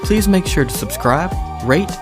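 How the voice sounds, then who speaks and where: A man's voice: high voice, animated, unhurried. Guy doing scripted podcast outro, credits read, lots of up and down in his voice, bit of music behind. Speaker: podcast creator reading credits.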